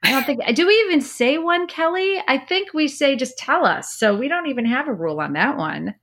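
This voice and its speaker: A woman hosting a podcast, upbeat and quick to laugh while she asks questions in casual talk. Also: high voice, expressive, a bit bright. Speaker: podcast host.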